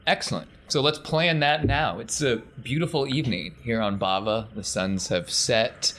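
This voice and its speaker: A man's voice: mid-range voice, moderate pace, a bit bright, slight background noise. A man working as a game master, improvising scene narration and chatting casually with players.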